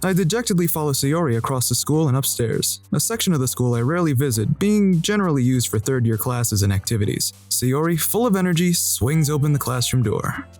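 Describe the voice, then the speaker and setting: A man plays a resigned, apathetic teenage boy telling his own story as visual novel narration. The mid-pitched voice moves along quickly with a wry edge, over clear background music. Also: very animated, darkish tone. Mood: dry, wry. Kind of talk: scripted first-person visual novel narration.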